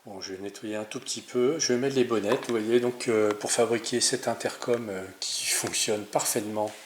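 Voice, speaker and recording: A man's voice: on the low side, steady tone, moderate pace. A man giving an informal hands-on DIY tutorial as a channel host, speaking in an improvised, hesitant way and often correcting himself. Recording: roomy echo, clear background noise, clicks.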